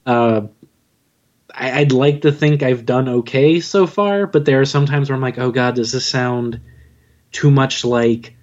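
A man being interviewed as a podcast guest, chatting casually in a halting, self-deprecating way. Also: medium pitch, darkish tone. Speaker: podcast guest, audio drama writer and narrator. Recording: dull, lo-fi audio.

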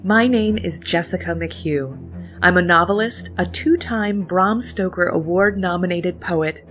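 A woman's voice: lowish voice, a little slow, fairly bright tone. A woman hosting a podcast, reading a scripted introduction with playful, theatrical phrasing over background music. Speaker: podcast host. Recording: music bed behind the voice.

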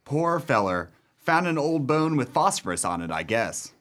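Shifty male tramp, actor: clipped radio play patter, middle register, extremely animated delivery. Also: mid-range voice, moderate pace. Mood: sly selling. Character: sly, smooth-talking male tramp burglar.

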